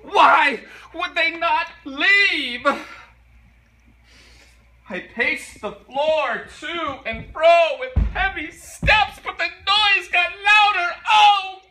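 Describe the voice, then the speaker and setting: A man's voice: extremely high pitch, bright, forceful tone, heavily acted. A man playing a guilty, nervous murderer coming undone, in a theatrical dramatic reading of a gothic horror story. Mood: frenzied panic. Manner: theatrical, deliberate, intensely emotional. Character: guilty, nervous murderer unraveling into frenzied madness. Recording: noisy, distant microphone.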